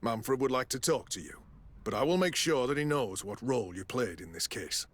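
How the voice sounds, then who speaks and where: An actor playing a stern but fair military quartermaster in a video game, with a formal, authoritative manner. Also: male voice, medium pitch, lively intonation, moderate pace, natural voice. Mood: stern, official. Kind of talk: scripted video game acting.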